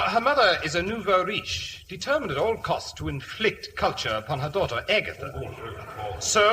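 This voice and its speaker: An actor playing a suave, scheming earl in an old radio comedy, with affected upper-class phrasing. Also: male voice, on the high side, bright, forceful tone, low-quality recording. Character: suave British fortune-hunting earl, cheerful schemer. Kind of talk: 1940s-1950s radio comedy acting. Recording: old radio broadcast with background hiss.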